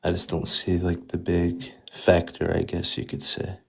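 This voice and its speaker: A male caller from Florida with a very deep, gravelly voice. He talks slowly and haltingly. His words come out mumbled. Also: monotone.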